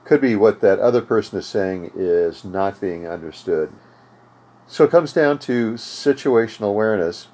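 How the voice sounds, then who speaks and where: An older man with an American accent giving an informal instructional talk, in halting, measured explanations with pauses. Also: lowish voice, lively intonation, dark tone. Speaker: host of amateur radio tutorial series. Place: home radio room.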